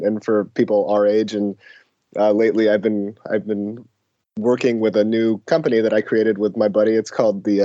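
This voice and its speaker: A hoarse man chatting as a podcast guest, joking in a dry, self-deprecating way. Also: fairly low pitch, dark tone, no echo, highs cut off. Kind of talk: casual podcast chat.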